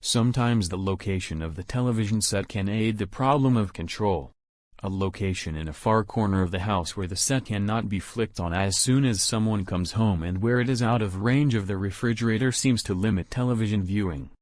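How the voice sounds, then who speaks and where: A man reading a practice passage aloud for an exam, with careful, even delivery. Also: lowish voice, brisk, no echo. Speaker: model reader for exam practice.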